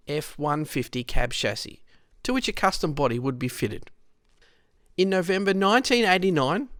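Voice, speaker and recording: A man's voice: fairly high pitch, fairly bright tone, dry recording, studio quality. An Australian man reading a scripted history in a storytelling way, speaking directly to the listener with conversational asides. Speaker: narrator, rescue unit member.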